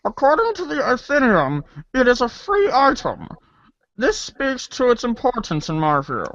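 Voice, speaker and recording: A woman's voice: a little high, wildly swooping pitch, moderate pace, a bit bright, dry recording. A woman hosting and reading in a fan readers' theater, moving between acted reading and casual asides. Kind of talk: read-along acting with casual asides. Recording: dull lo-fi audio.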